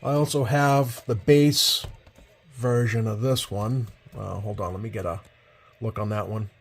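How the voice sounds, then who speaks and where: A man's voice: fairly low pitch, fairly even intonation, measured pace, husky. A man giving a casual, hands-on product demonstration as a host, hesitant and rambling, often correcting himself. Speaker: host, CB radio collector. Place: indoors at a workbench.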